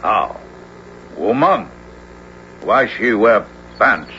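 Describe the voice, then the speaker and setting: Stern warrior, low forceful voice, solemn, halting broken English, suspicious, radio drama, muffled noisy recording. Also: male voice, expressive, exaggerated voice. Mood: suspicious, puzzled. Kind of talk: 1970s radio drama acting.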